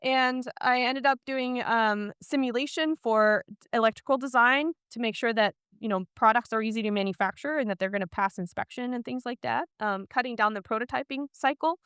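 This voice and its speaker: A woman's voice: fairly high pitch, brisk, hardly any pauses, a bit bright, smooth, dry recording, studio quality. A woman from Iceland speaking as a podcast guest, telling her career story in a candid, chatty way.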